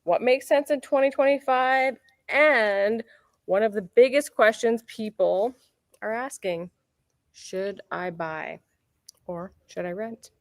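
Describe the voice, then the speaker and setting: A woman's voice: on the high side, slow, no room sound, clean studio sound. A woman hosting a casual real estate chat, upbeat and chatty, correcting herself as she goes. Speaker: realtor and show host. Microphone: computer mic near a keyboard.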